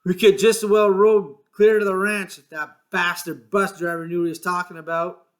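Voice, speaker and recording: A man voicing a small, irritable ranch hand who talks gruffly, swears and sounds fed up. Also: high-pitched, normal speed, fairly bright tone, voice pushed up. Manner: gruff, exasperated, swearing, rough rural speech.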